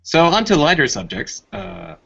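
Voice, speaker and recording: A man's voice: fairly high pitch, big pitch swings, normal speed, bright, forceful tone, slightly rough. A man chatting casually about politics in a group, easing into points hesitantly with mild exclamations. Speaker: podcast panelist. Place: group internet voice call. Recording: dull lo-fi online voice call.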